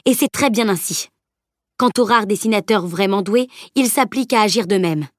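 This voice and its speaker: A woman's voice: mid-range voice, moderate pace, no room sound, clean studio sound, natural voice. A woman reading as a stern, grumbling old male teacher who lectures severely. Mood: lecturing.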